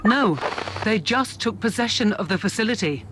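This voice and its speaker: An actor playing a diligent ship's officer in a video game, reporting to a superior in a formal, deferential way. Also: male voice, high voice, animated, moderate pace, bright, forceful tone, slight background noise. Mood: dutiful, factual. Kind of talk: video game cutscene acting.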